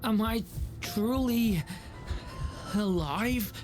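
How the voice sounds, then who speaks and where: A fragile, confused boy from a video game. He speaks very slowly and uncertainly, pleading for direction. Soft music plays under the voice. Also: fairly high pitch. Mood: dazed, fragile. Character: young boy Durin, newly alive, lost and confused.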